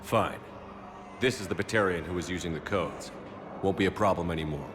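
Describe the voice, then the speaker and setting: An actor playing a steady, resolute military commander in a video game, firm, measured and terse. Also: male voice, deep voice, noisy background, natural voice. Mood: businesslike. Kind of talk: video game cutscene acting.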